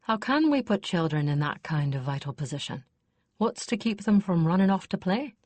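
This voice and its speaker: A woman's voice: fairly low pitch, sing-song, normal speed, darkish tone, dry recording. A cautious nobleman, as a woman narrator reads him, doubtful and insistent as he presses his point. The reading is close and dry.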